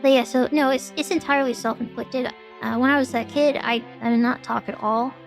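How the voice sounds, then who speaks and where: A woman VTuber answering viewer questions in a casual vlog, with a gravelly, strained voice and a throat getting sore, rambling with many fillers and restarts. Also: high-pitched, steady tone, fairly quick, soft background music.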